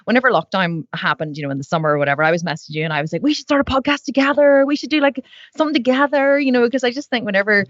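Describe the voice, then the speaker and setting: A woman's voice: on the high side, lively intonation, rapid-fire, no room sound, lo-fi sound. A woman with an Irish accent hosting her podcast, chatting casually, often correcting herself and laughing at her own slips. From Ireland. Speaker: podcast host. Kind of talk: casual podcast intro and chat. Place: office.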